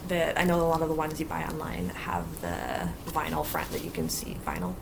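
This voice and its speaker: A woman's voice: deep voice, very animated, normal speed, creaky, noisy background. A woman vlogging about her cross-stitch projects in a casual, rambling way with lots of fillers, sounding a little stuffy from allergies. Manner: chatty, rambling, many fillers. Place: home basement craft area.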